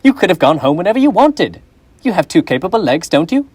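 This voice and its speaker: A young woman on a school stage playing a gruff, defensive old miser who stammers and pleads. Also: lowish voice, sing-song, fast talker, a little mellow, no room sound. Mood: irritable. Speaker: stage actor. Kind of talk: school stage play acting.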